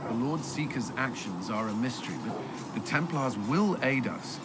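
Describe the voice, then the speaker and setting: An actor playing a stern, weary but resolute former templar commander in a fantasy video game, speaking in earnest, formal, soldierly phrases. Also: male voice, medium pitch, expressive, normal speed, heavy background noise, close to an everyday voice. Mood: steady, resolute. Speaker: military commander and adviser. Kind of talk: video game character dialogue.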